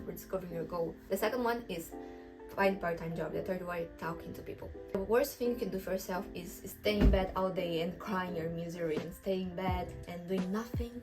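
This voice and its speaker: A woman's voice: fairly low pitch, poor audio. Earnest young Brazilian woman vlogging. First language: Portuguese. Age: 20s. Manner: earnest, encouraging, candidly personal. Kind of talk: casual advice vlog to camera. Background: background music.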